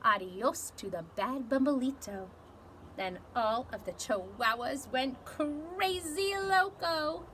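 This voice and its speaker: Woman reading a kids book out loud, takes her time, dramatic, bit of noise behind. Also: sits high. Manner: animated, dramatic delivery with measured phrasing. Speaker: storybook reader. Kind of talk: expressive children's storybook read-aloud.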